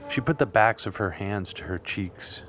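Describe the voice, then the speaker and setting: A man reading scripted literary fiction as an audiobook narrator, with measured, deliberate phrasing. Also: on the low side, lively intonation, fairly bright tone, a little hiss or hum. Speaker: audiobook reader. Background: music.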